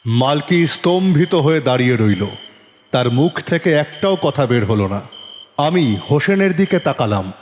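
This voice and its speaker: নাটকীয় ওঠানামার গলায় পুরুষ গল্পকথক. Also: male voice, on the high side, animated, moderate pace, slight background noise. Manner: theatrical, stern when questioning. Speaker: narrator playing a police detective. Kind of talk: dramatised first-person story narration. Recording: long reverb, faint music behind.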